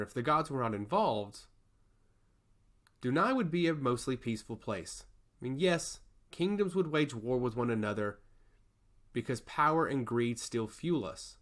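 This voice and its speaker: A man's voice: mid-range voice, a bit bright. A man playing an earnest young prince who speaks respectfully and longs for peace, in a fantasy story.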